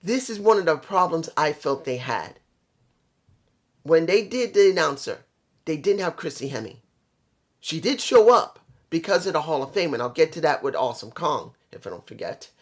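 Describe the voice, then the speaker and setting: The voice is a mid-pitched reviewer, a little hoarse from allergies. The delivery is casual and opinionated, as in a wrestling vlog. Points get repeated and stressed in a conversational way. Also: very animated, moderate pace. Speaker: vlogger reviewing a wrestling show.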